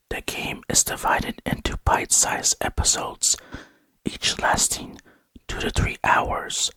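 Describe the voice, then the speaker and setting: A man whispering scripted ASMR narration in a hushed, unvoiced voice, close to the microphone. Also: dry recording. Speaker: video host and narrator.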